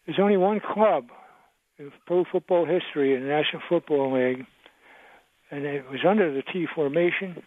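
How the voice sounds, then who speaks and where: A man's voice: on the high side, moderate pace, no room sound. Elderly man rambling over a tinny phone. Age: 80s. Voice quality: rambling, reminiscing. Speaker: caller. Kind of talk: phone-in radio call. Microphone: telephone. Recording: tinny phone line, dry.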